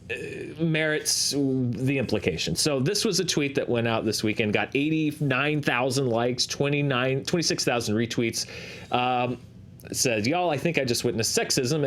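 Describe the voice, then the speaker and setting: A man with an average-pitched voice talks as a show host doing analysis. He explains things in a measured, conversational manner, like someone walking an audience through figures. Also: fairly bright tone, slight background noise. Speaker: host of a box office chart show.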